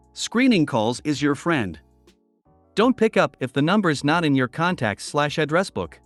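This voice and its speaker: A man with a slightly high voice reads online stories aloud in an even, steady way. The sound is dry and close, with faint music playing underneath. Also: dry recording. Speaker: narrator. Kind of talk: reading online posts aloud.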